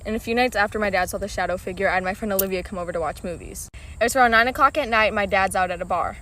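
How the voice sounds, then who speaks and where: A woman's voice: mid-range voice, racing, bright, forceful tone, a little hiss or hum. A young adult woman casually telling a personal scary story. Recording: voice distant from the mic.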